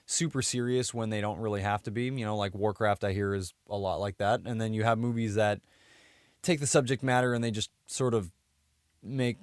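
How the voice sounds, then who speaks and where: A man's voice: lowish voice, fairly even intonation, brisk, clear, resonant tone, dry recording, clean studio sound. A man co-hosting a podcast, chatting casually and giving opinions in a rambling, conversational way. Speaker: podcast co-host.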